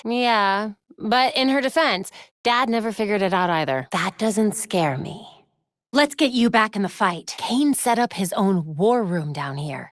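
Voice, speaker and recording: A woman voicing a young crime-fighting superheroine in a video game, with an American accent and a wry, crisp, determined delivery. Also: mid-range voice, no room sound, studio quality, natural voice. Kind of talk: scripted video game voice lines. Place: recording studio.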